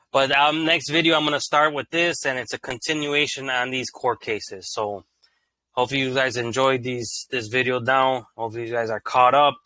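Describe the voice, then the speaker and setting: A man hosting his own channel, reading court rulings aloud in an even, monotone way and breaking off into casual, slangy commentary. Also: mid-range voice, normal speed, no room sound. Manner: reads legal text evenly, then breaks into loose slangy asides.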